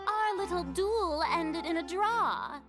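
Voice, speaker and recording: A woman's voice: extremely high pitch, slow pace. A woman voicing a haughty, showboating young lady ruler in an anime game, pompous and dramatically grandiose. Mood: grandiose challenge. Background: background music.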